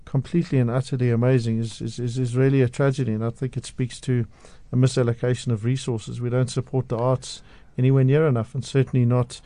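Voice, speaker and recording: A man's voice: medium pitch, little pitch movement, fast talker, hardly any pauses, dark tone, dry recording. A man from South Africa speaking as a guest on a radio show, outspoken and passionate, often restarting his sentences. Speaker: radio guest, media analyst. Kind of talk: radio interview. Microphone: studio microphone.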